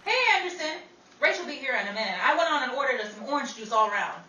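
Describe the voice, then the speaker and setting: A woman's voice: high-pitched, animated, fast talker, pushed and bright. A woman playing a sassy, flamboyant Broadway showgirl in a 1930s-style radio comedy, slangy and theatrical. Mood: bossy, brassy. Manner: theatrical, slangy, mock-indignant. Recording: roomy, distant, noisy recording with crowd.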